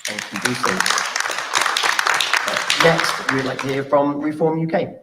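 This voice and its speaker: A man's voice: a little high, unhurried. Echoey, noisy male hustings moderator. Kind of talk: event moderating. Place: live public hustings event. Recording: echoey room, loud crowd noise.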